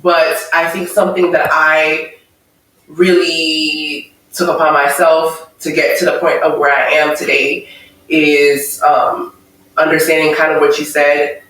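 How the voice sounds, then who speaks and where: A woman's voice: low-pitched, little pitch movement, fairly bright tone. Woman speaking earnestly in an echoey room. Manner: earnest, thoughtful, measured with pauses. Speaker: interview guest. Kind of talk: reflective personal testimony. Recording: echoey room, mic far off.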